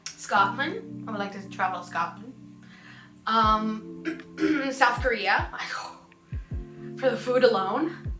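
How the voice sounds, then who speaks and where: A woman's voice: a little high, takes it slowly, pauses often. A young adult woman, a voice actor, chatting casually and answering fan questions in a bubbly, giggly, self-deprecating way. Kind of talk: casual vlog Q&A. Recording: roomy echo with music behind.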